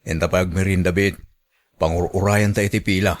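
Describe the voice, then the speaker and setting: A man's voice: low-pitched, fairly even intonation, a little fast, close to an everyday voice. An actor with an Ilocano accent playing a familiar, flirtatious and sympathetic village man in a radio drama. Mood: flirtatious, teasing.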